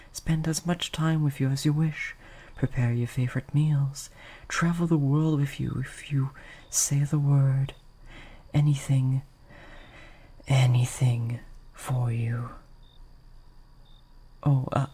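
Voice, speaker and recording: A performer voicing a gentle, lovesick, possessive vampire in an intimate audio roleplay, soft and breathy, with formal, hesitant, old-fashioned phrasing. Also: mid-range voice. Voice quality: soft, breathy, often dropping toward a whisper.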